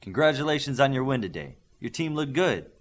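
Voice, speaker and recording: A man's voice: medium pitch, big pitch swings, a little fast, voice pushed up. A man voicing a plain-spoken, ageing superhero team coach in an audiobook. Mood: cordial.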